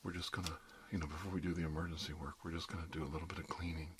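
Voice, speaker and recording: Soft-spoken, deep-voiced ASMR dentist played by a man. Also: little pitch movement, very noisy. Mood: soothing. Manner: soothing, unhurried, reassuring, talking a patient through each step. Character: calm, reassuring male emergency dentist treating a supernatural patient. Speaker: ASMR roleplayer playing a doctor. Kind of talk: ASMR roleplay, soft-spoken with frequent whispering.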